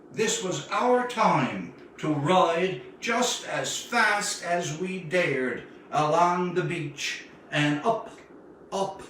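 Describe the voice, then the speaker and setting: A man reading his own literary short story aloud in a dramatic, deliberate storytelling manner with measured phrasing. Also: high voice, bright, forceful tone. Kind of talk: literary story reading, first-person narration. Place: indoor room, live stream. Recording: echoey room, distant mic, faint noise.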